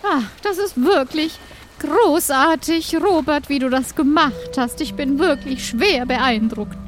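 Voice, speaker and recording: A woman's voice: sits high, measured pace. A woman playing a small, timid but devoted adventurer, speaking earnestly and hesitantly in an improvised audio adventure. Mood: impressed. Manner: hesitant, earnest, repeating words.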